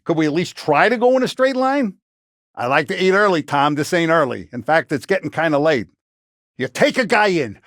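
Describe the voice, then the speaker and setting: An actor playing a tough, middle-aged Italian-American mafia boss in a video game, blunt and streetwise, with a commanding, vengeful manner. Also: male voice, a little high, fairly bright tone, no echo, studio quality. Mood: angry, aggressive. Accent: American, Italian-American gangster. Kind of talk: video game voice acting, 1930s gangster talk.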